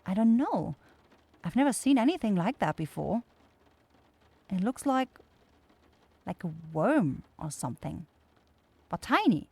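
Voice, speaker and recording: A woman's voice: mid-range voice, sing-song, a little slow, creaky, long pauses, mellow, husky, no room sound, full character voice. A woman voicing a caring, easily flustered young forest witch in an ASMR fantasy roleplay, speaking in hesitant, blurting phrases. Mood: concerned, teasing.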